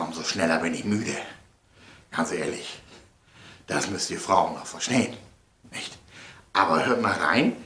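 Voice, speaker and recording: A man presenting in a casual, chatty way, rambling and emphatic, repeating phrases for effect. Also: fairly low pitch. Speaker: presenter of his audio sketches. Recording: echoey room.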